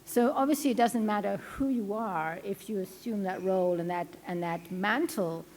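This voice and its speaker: A woman with a South African accent gives a conference presentation into a podium microphone. Her voice is mellow and relaxed, and she talks in a chatty way, full of ums and restarts. A faint hiss of background noise sits under the recording. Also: medium pitch, normal speed. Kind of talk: academic conference talk. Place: lecture hall at a conference.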